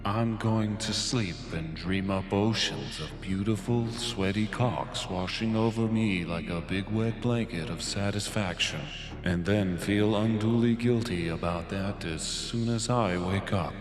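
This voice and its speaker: Man acting a slow, entranced alien zealot. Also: on the low side, lightly acted. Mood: dazed, drowsy. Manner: drowsy, slow, dazed. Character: entranced, weary alien zealot in drowsy monotone. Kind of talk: comic video game voice acting. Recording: long echoing reverb, music behind.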